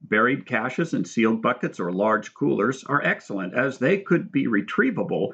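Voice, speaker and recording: A man reading his own book aloud as scripted narration, in an instructional, measured manner. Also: medium pitch, very animated, gravelly, fairly bright tone, highs cut off. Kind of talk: scripted audiobook narration with spoken asides.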